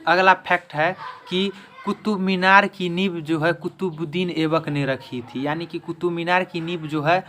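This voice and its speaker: A man's voice: on the high side, fairly even intonation, moderate pace, sharp tone, slight background noise. A man giving a quiz-style history lesson as an exam-prep teacher, restating each question and answer.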